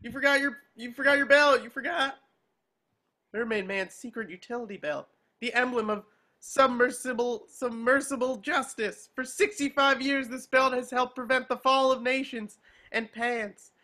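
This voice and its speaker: A performer playing an excitable, childlike male sea sponge in a cartoon table reading, gushing and pleading. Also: mid-range voice, normal speed, natural voice. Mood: starstruck, giddy.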